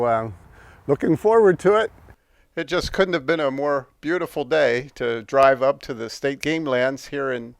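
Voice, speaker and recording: A man's voice: a little high, no echo. An older American man, an amateur radio hobbyist, narrating his outing in a casual vlog style.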